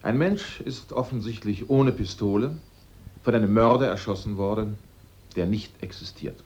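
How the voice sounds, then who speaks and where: A man playing a self-assured amateur sleuth in a German radio drama, speaking politely and deliberately. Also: lowish voice, a little mellow, breathy edge. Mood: deliberate, commanding. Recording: dull radio recording, faint noise.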